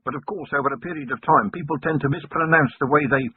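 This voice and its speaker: A man's voice: on the high side, fast, slight background noise, pitched above the performer's own voice, lightly acted. A man doing a frightened, rambling chicken farmer. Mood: anxious. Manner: pleading, over-explaining, keeps saying sir. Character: nervous plump male chicken farmer, rambling and timid. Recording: muffled lo-fi narrow-band recording.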